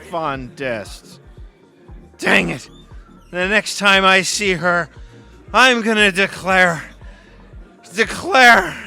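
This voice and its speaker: Man acting a loud, forceful lovesick drunk. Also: high voice, heavily acted. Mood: maudlin, emphatic. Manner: slurred, declamatory drunken delivery. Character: drunk, lovesick man drowning his sorrows at a bar. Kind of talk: audio theatre comedy acting.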